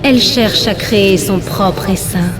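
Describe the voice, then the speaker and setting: A woman's voice: medium pitch, unhurried, background noise, natural voice. A woman voicing a devoted alien servant of a swarm queen, formal and deferential, in a dramatic science-fiction game. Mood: dutiful reporting. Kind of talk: dramatic video game acting. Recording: long reverb, music behind.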